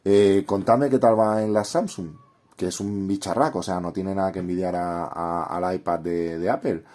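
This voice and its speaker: A man's voice: low-pitched, fairly even intonation, normal speed, a little mellow. A man with a Castilian Spanish accent and a head cold, chatting informally and directly to viewers as he hosts a casual tutorial and review. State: congested with a cold. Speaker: YouTube host reviewing a drawing app.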